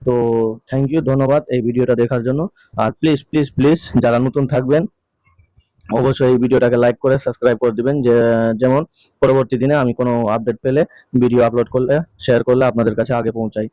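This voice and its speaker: A man speaks quickly as a casual video host. His voice is mellow and fairly even, recorded dry and a little dull. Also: medium pitch, no echo. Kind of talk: casual vlog update. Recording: dull, lo-fi close dry sound.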